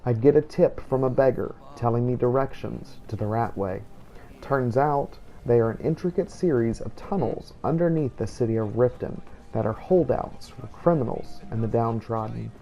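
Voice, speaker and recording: Mellow, gravelly man narrating a game. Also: mid-range voice, moderate pace, muffled recording. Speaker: gameplay narrator. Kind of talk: scripted first-person role-play narration.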